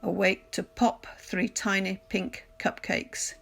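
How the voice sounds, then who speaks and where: A woman reading poems aloud as a scripted recitation, in a measured, deliberate delivery. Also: mid-range voice, level tone, vocal fry, slightly rough. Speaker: poetry reader. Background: music.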